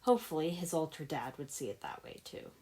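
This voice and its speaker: A woman with a low voice reads fiction aloud. She delivers scripted narration as a storyteller, carrying both description and character dialogue. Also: sing-song, fairly quick, darkish tone. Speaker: fan fiction narrator, podfic reader.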